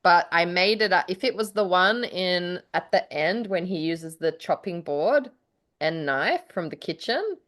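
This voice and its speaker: A woman in a casual chat between writers, telling stories in an effusive, animated way with the odd casual swear word. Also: fairly low pitch, measured pace.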